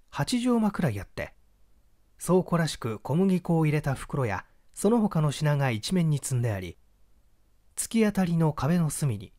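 Animated male mystery narrator, dry sound. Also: medium pitch, no echo. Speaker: audiobook narrator. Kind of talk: dramatic scripted mystery narration.

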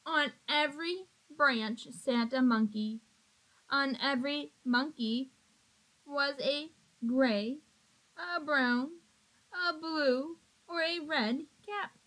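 A woman in her thirties reads a picture book to young children. Her voice is high and her pace is slow and deliberate, with expressive phrasing, picked up by a computer mic in a small room. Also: rough recording. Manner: expressive, deliberate, asks the young listeners questions. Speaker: storybook reader. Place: small indoor room with block walls. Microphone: webcam or laptop at arm's length.

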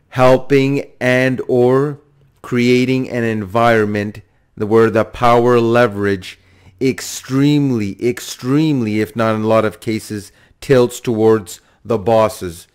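A man's voice: medium pitch, smooth. A man giving political analysis in a wordy, repetitive way, stretching phrases out for emphasis. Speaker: political commentator.

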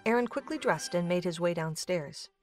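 A woman's voice: lowish voice, animated, normal speed. A woman reading scripted narration for a fantasy audio drama. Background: music.

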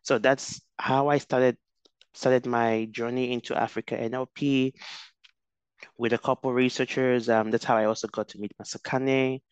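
A man's voice: mid-range voice, level tone, moderate pace, clear, resonant tone, no echo. A young man from Nigeria, a researcher interviewed over a video call, speaking thoughtfully with many restarts and fillers. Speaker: guest researcher. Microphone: headset.

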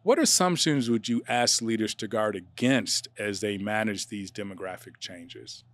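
A middle-aged man hosting a podcast interview, asking questions and murmuring agreement into a studio boom mic. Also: normal speed, a bit bright, no room sound. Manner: affirming, frequent murmured agreement. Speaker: podcast host, district official.

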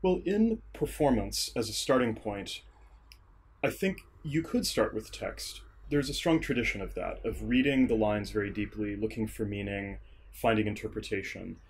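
A man speaking as an interview guest, giving measured, articulate analysis as a theatre scholar and director. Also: fairly low pitch, slight background noise. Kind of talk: thoughtful analytical interview talk.

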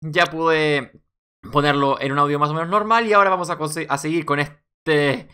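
Bright-voiced man doing let's play commentary. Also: on the high side, fairly even intonation, normal speed, smooth. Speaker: gaming video host.

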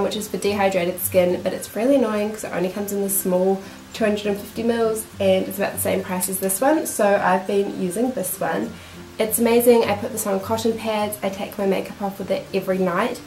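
A woman's voice: medium pitch, steady tone, brisk, darkish tone. A young woman with a New Zealand accent talks through her skincare products in a chatty, enthusiastic way. A cold leaves her voice nasal. She sits in a small room facing a camera, and the room gives the sound a noticeable echo. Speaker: beauty vlogger. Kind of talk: casual product review talk. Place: small room indoors, seated. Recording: roomy echo.

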